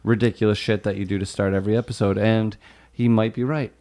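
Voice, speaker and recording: A man's voice: on the low side, level tone, fast, a little mellow. A man co-hosting a podcast with casual banter and crude, teasing humour. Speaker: podcast co-host.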